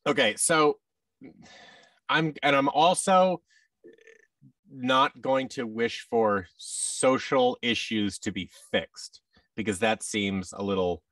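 A man in his thirties with an American accent, chatting casually as an interview guest and telling anecdotes in an expressive way. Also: medium pitch, takes it slowly, long pauses, no room sound, far from the mic. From Seattle, United States. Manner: animated, anecdotal, acts out quoted thoughts. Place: large furnished indoor room, video call. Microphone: boom mic and headset, slightly off-mic.

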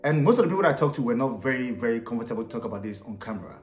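A man's voice: medium pitch, animated, rapid-fire, reverberant, faint music behind. A man hosting a street interview channel, upbeat and repeating his calls to like and subscribe. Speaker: YouTube host and street interviewer. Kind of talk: casual vlog intro and street interview questions. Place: small room indoors for intro.

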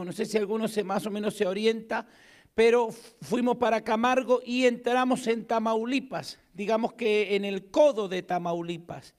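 A middle-aged man with an Argentine accent preaches from a pulpit in a church hall. His voice sits high for a man. He speaks into a handheld mic and swings between emphatic calls for an amen and hushed, quiet asides. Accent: Argentine Spanish. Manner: emphatic preaching, rising loud to call for amens and dropping to a hush. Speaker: pastor. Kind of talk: sermon. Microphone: handheld mic at a pulpit, far camera.

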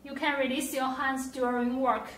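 A woman presenting a scripted product demonstration, with careful, halting, non-native phrasing. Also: sits high, little pitch movement, a bit bright. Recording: roomy echo, distant mic, faint noise.

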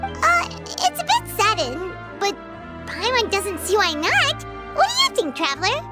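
A tiny fairy sidekick from an anime game, extremely high and squeaky. The delivery is childlike, curious and chatty, swinging up and down in pitch. Music plays strongly behind the voice. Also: female voice, huge pitch swings, measured pace, exaggerated voice. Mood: perky, questioning. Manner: refers to self in third person, perky. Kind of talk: anime video game voice acting.

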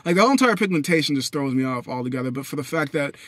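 A young man from Haiti, talking into his phone in a parked car at night, blunt and profane, swinging between loud bursts and low mutters. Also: expressive, rapid-fire, no echo. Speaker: vlogger. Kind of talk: rambling personal monologue. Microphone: phone camera at arm's length.

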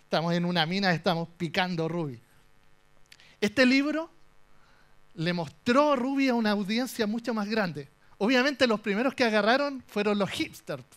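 Young chilean guy on a conference stage, kinda high voice, cracking jokes as he goes. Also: moderate pace. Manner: animated, joking storyteller. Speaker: conference speaker. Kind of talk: humorous tech talk.

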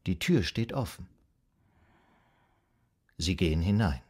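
An actor playing a calm psychoanalyst who guides a patient into trance with measured, soothing commands, in an audio drama. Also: male voice, low-pitched, full character voice. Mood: calm, hypnotic. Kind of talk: slow hypnotic guided induction in an audio drama.

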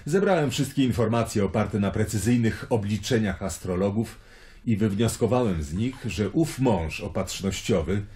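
A worldly Roman traveler from a radio play, acted by a man, with a slightly low voice. He spins his tales eloquently and wryly, with plenty of melodic swing in his delivery. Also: animated, normal speed, dark tone, natural voice. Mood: earnest, persuasive. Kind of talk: radio drama acting.

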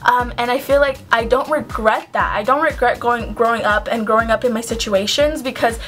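A woman's voice: fairly high pitch, fairly quick, fairly bright tone, faint music behind. A young woman doing a makeup tutorial at home, chatting casually with lots of ums and likes and giving earnest advice. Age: young adult. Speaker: beauty vlogger. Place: small home room with shelves, indoors. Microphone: camera at close range.

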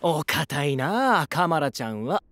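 A man's voice: on the high side, big pitch swings, unhurried, a bit bright, no echo, heavily acted. An actor voicing a lax, money-minded young fantasy king with a breezy, teasing manner.

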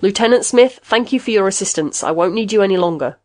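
An earnest male investigator in an audiobook story, acted by a woman, courteous and persuasive with impatience underneath. The delivery is animated and insistent. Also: medium pitch, fast, no room sound, close to an everyday voice. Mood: firm, courteous. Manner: polite, urgent, persuasive. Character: earnest, diplomatic male investigator, losing patience.

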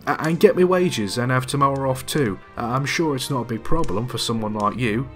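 A timid clerk , put on by a male storyteller, begs politely with a slight stammer. His voice is bright and slightly raised, a storybook underling with music behind. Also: mid-range voice, expressive, fairly quick, natural voice. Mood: pleading. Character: meek, pleading male clerk of an old miser.

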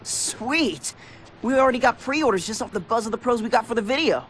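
A man's voice: very high voice, expressive, a little fast, a bit bright, slight background noise. A man playing a crude, scheming young skater buddy in a video game cutscene. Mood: pleased.

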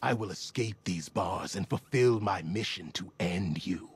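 An actor playing a solemn, fanatical self-appointed avenger in a video game, with a raspy, gravelly voice. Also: male voice, low-pitched, a little slow, exaggerated voice. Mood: menacing zeal. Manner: solemn, menacing.

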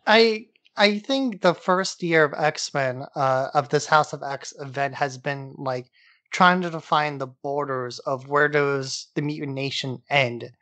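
A man's voice: fairly high pitch, unhurried, fairly bright tone, no room sound. A man co-hosting a podcast in a casual discussion, talking in loose, rambling explanations. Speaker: podcast co-host.

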